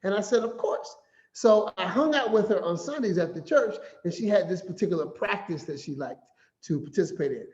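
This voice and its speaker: A man giving a finance talk as an animated, playful storyteller who acts out quotes. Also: high voice, a little fast. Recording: echoey room, slightly dull sound.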